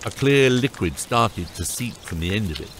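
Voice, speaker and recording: A man's voice: fairly low pitch, animated, normal speed, fairly bright tone, slight background noise. A man narrating a fantasy audiobook from a script as its storyteller.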